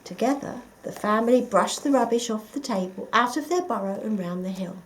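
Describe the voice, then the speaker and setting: A woman reading a children's picture book aloud, with expressive, dramatic emphasis for young listeners. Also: fairly high pitch, fairly quick, low-quality recording. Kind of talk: expressive scripted story narration.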